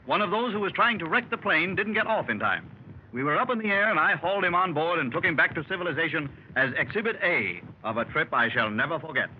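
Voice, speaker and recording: Here is a dramatic male explorer from a 1930s radio adventure, played by a man. His voice is high and swings widely as he tells the tale, and it turns to urgent shouted orders when the chase begins. The sound is a muffled old radio recording with faint hiss. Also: expressive, fast talker, lightly acted. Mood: modest. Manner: dramatic storytelling, shouting orders in the action scene. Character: daring male explorer recounting a jungle escape. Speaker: club member telling his adventure.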